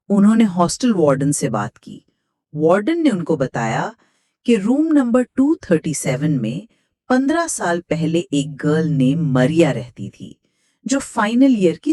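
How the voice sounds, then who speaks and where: A woman's voice: low-pitched, dark tone, no room sound, clean studio sound. A woman with a Pakistani accent hosting and reading a scripted horror story. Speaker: host, horror story narrator.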